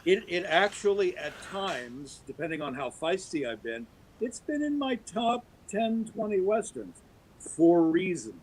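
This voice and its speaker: A man's voice: high-pitched, very animated, a little slow, a little hiss or hum, low-quality recording. A man talking as a guest in a casual podcast chat, rambling and enthusiastic, with many fillers and restarts. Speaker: guest actor.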